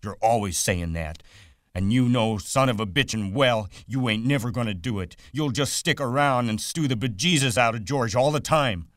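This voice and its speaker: A man voicing a sharp-tongued old aunt who nags and scolds in coarse country talk. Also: mid-range voice, moderate pace, no echo, studio quality, voice pushed up, lightly acted. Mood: scolding.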